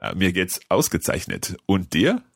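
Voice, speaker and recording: A man hosting a language podcast lesson, speaking clearly and patiently and repeating phrases slowly. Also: sits low, big pitch swings, no echo, clean studio sound. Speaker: language course host. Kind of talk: scripted podcast lesson chat.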